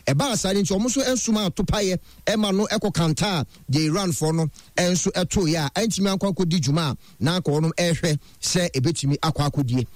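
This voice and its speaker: A man's voice: fairly high pitch, no room sound. Male radio newsreader, dry sound. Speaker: radio news presenter. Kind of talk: radio news reading.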